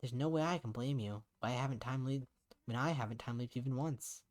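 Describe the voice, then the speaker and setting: A man's voice: mid-range voice, a little fast, close to an everyday voice. A man voicing an earnest young woman in a visual novel, blunt but caring, questioning frankly and insistently. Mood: frank, understanding.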